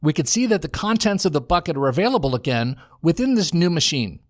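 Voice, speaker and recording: An American male voice-over narrator with a slightly high voice, recorded dry in a home studio. He reads a scripted software walkthrough in a measured, instructional manner. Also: very animated, no echo.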